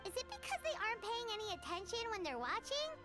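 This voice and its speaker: A woman's voice: very high-pitched, very animated, fast talker. A woman voicing a cheerful, childlike little companion sprite in a game fan dub, bubbly and exclamatory. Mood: curious. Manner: bubbly, exclamatory, refers to self by name. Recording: loud music behind voice.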